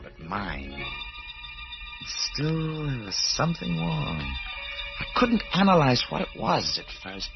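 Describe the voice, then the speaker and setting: An actor playing a desperate, obsessed and menacing man in an old-time radio horror drama, speaking with brooding, halting intensity. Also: on the low side. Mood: brooding narration. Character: desperate, obsessed, menacing male musician with a grafted hand. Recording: muffled, noisy vintage radio recording.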